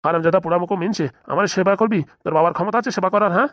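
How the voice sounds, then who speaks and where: A man's voice: high-pitched, very fast, a bit bright, dry recording, clean studio sound. A man voicing a bullying, foul-mouthed cartoon police commissioner with a blustering, threatening manner. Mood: furious. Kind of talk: fast cartoon comedy dialogue.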